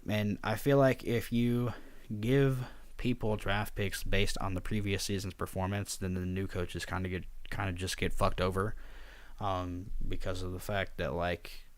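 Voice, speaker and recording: Brief: male vlogger, very low voice, hushed and flat, lots of ums, dry close sound. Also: unhurried, dry recording. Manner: quiet, subdued and somewhat monotone; rambling with frequent fillers. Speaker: draft league coach and video host. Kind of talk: casual spoken commentary over slides.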